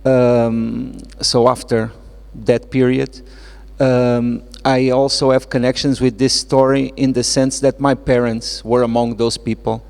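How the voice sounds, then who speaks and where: A middle-aged man speaks English with a Portuguese accent at an unhurried pace, pausing often to find his words. His voice has a gravelly fry and carries long reverb, as in a hall. He sounds like an author reflecting before an audience at a public talk. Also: mid-range voice, flat delivery, darkish tone. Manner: halting, searching for words. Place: indoor event space with reverberant acoustics.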